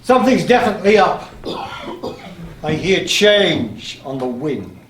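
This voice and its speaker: An actor playing a weary, boastful aging sea god in a live stage play, declamatory and grumbling. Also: male voice, a little high, very animated, unhurried, fairly bright tone. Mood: weary, exasperated. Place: live in a playhouse, far from the mic. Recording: distant, echoey theatre stage, faint noise.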